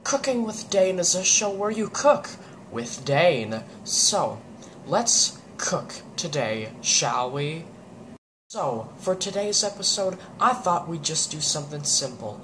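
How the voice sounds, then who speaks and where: A man's voice: a little high, very animated, takes it slowly, fairly bright tone. A teenage young man casually hosting a homemade cooking show in a laid-back, goofy, slangy way, showing each step in a home kitchen. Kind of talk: casual vlog presenting, demonstrating steps. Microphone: camera on the counter, about a metre away. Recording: clearly noisy homemade camera audio.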